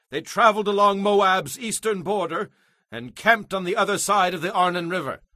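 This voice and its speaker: An actor playing an indignant warrior commander in an audio Bible drama, declaiming forcefully. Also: male voice, sits high, no room sound, studio quality. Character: indignant warrior commander arguing his people's case.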